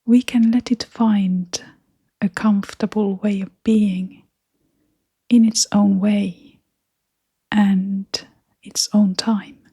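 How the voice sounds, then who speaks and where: A woman leading a guided meditation in a gentle, breathy, whispery and creaky voice, speaking in short phrases with long, unhurried pauses. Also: medium pitch, fairly even intonation, no room sound, clean studio sound.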